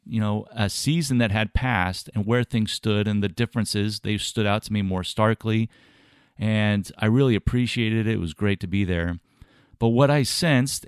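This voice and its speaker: Earnest male podcast host, low-pitched, smooth and resonant despite a slightly scratchy throat, measured delivery. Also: no echo, clean studio sound. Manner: earnest, measured, with occasional fillers and restarts. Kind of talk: podcast monologue on ministry travels.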